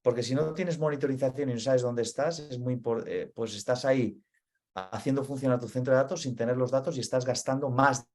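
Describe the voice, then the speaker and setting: Guy from spain w/ spanish accent doing a webinar talk, mid pitch, keeps hammering points and asking "vale?". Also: a little mellow. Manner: earnest, repeats key points, frequent "vale?" check-ins. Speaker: webinar speaker, data center expert.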